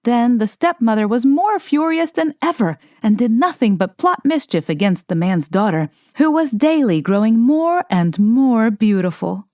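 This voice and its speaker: A woman reading a fairy tale aloud as an expressive, scripted narration. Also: a little high, moderate pace, hardly any pauses, no echo, clean studio sound. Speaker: storyteller, audiobook narrator.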